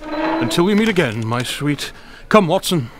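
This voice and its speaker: An actor playing an imperious, eloquent detective in a radio drama, with formal, florid diction. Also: male voice, a little high, big pitch swings, unhurried. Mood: brisk, assured.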